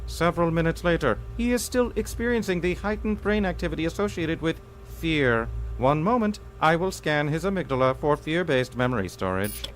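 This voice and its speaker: A man's voice: fairly high pitch, expressive, normal speed, few pauses, slight background noise, close to an everyday voice, low-quality recording. A man playing an anxious, earnest alien passing as human in a comedic audio drama, speaking in stilted, formal, literal phrases. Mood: analytical, intrigued. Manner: stilted, formal, literal speech without contractions. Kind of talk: comedic audio fiction acting.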